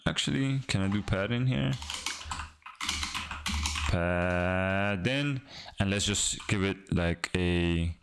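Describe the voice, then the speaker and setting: A young man from the Caribbean, a native Creole speaker, chatting casually with his stream while he codes, rambling and pausing a lot as he restarts his sentences. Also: sits low, noisy background. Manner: rambling, pauses and restarts, talks to chat. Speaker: streaming developer. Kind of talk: casual live-stream coding chat.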